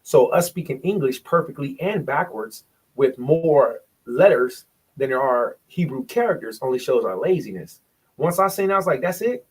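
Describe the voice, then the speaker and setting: A man's voice: mid-range voice, very animated, normal speed, husky, low-quality recording. A man presenting in a bible study, explaining points from a shared screen and sounding out syllables, often repeating himself.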